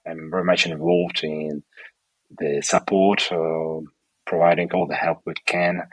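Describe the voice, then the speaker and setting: A man from Ukraine in his thirties, a podcast guest speaking English as a second language and pausing to search for words. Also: low voice, slightly rough, no room sound, poor audio. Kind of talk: podcast interview.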